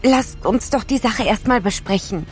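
An actress playing a hesitant Mars astronaut in an audio drama. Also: female voice, a little high, normal speed, faint music behind, lightly acted. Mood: anxious. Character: hesitant female Mars astronaut urging discussion.

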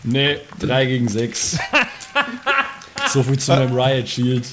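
A man's voice: mid-range voice, level tone, takes it slowly. A man talking casually as a player in an improvised tabletop role-playing game. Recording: faint background noise.

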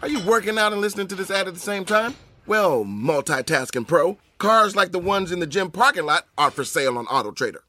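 A man's voice: high voice, animated, a little fast, sharp tone, no echo. A man delivering a playful scripted radio advertisement as an announcer.

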